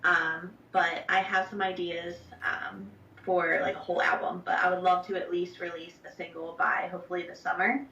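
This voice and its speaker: A young woman from New Jersey chats casually as a musician guest, with plenty of fillers and an upbeat tone. Her voice sits low with a gravelly fry, and it comes through a roomy, distant, dull-sounding line. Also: level tone, moderate pace, few pauses, sharp tone. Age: young adult. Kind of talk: remote interview. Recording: echoey distant lo-fi call audio.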